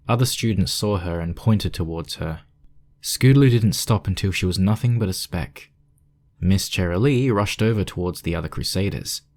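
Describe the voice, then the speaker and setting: A man's voice: low-pitched, a little mellow. A man reading scripted story narration as the narrator of a piece of fan fiction.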